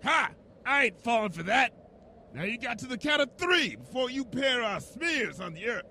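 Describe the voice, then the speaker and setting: A man's voice: very high voice, big pitch swings, normal speed, pushed and bright, slight background noise, heavily acted. A man voicing a protective, folksy pony father in a wasteland audio drama, with a drawling Southern US accent. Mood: hostile, threatening.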